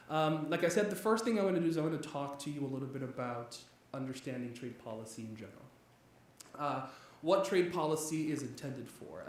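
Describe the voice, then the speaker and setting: Nigerian-raised man lecturing in an echoey hall. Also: mid-range voice. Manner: energetic, talkative, with frequent fillers and restarts. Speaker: economics professor giving a guest lecture. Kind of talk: public lecture. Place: large echoey church hall. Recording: very echoey hall, faint background noise.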